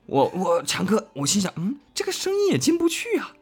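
A male voice actor talking casually and reflectively about his work, earnest and halting, often repeating his phrases. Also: high voice, wildly swooping pitch, husky. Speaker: voice actor discussing an audio drama. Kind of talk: casual, reflective cast chat.

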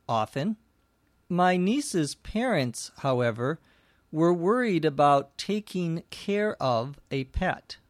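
A middle-aged man explains English vocabulary at a very slow, careful pace, every word laid out plainly. His voice sits a little high for a man and sounds close and dry, with no room around it. Dry little jokes slip in between the definitions. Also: no room sound. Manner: slow, clear, deliberate teaching delivery with dry jokes. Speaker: English teacher.

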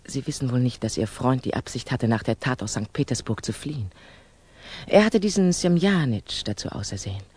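An actress playing a composed, candid older female revolutionary in a 1960s German radio drama, measured, admonishing and probing. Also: very deep voice, animated, a little mellow, slightly rough, exaggerated voice. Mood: earnest, certain.

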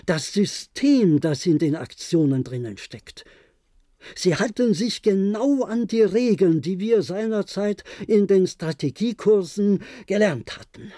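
An actor playing a weary, pensive old man in a German radio play, speaking in a halting, deliberate way. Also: high voice, animated, warm tone, no echo, clean studio sound. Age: older. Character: weary old former diplomat, pensive and insistent.

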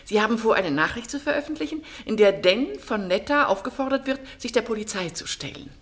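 A genteel older mother from a radio play, acted by a woman. Her voice swings animatedly in pitch, with a dry, arch wit and a talkative, well-bred manner. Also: animated, fairly quick, close to an everyday voice. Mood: curious. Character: older upper-class mother, dryly witty, talkative, genteel. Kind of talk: radio drama acting.

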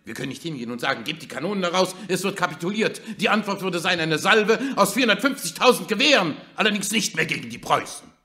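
An actor in a German radio drama playing an agitated government minister, urgent, emphatic and rhetorical. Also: male voice, high-pitched, lively intonation, quick pace, hardly any pauses, sharp tone, heavily acted. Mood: alarmed.

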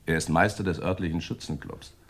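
An actor playing a matter-of-fact local police inspector in a German radio crime play, speaking in a clipped, businesslike way. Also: male voice, low voice, brisk, fairly bright tone.

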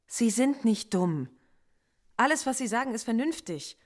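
An actress playing a defiant middle-aged philosophy professor under interrogation in a German radio play, measured and coolly articulate. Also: female voice, a little high, expressive. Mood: cool, scornful.

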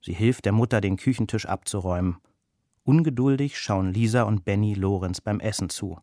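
A man's voice: fairly low pitch, fairly quick, mellow, dry recording, studio quality. A man narrating a children's story in a scripted storybook style.

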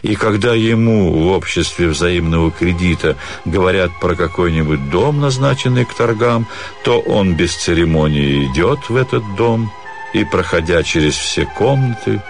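A man reading a literary story theatrically, with expressive, measured phrasing and dramatic pauses. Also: low voice. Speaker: actor reading a short story. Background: music.

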